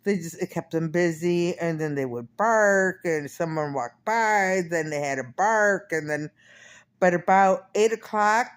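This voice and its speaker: Slow-talking woman in her fifties, clear voice. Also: on the low side. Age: 50s. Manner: meandering, repeats and corrects herself. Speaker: personal vlogger. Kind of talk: casual rambling diary-style chat.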